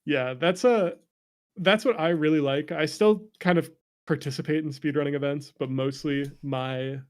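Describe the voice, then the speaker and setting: A man's voice: a little high, normal speed. A man chatting casually as an interview guest, joking in a playful, mock-sarcastic way.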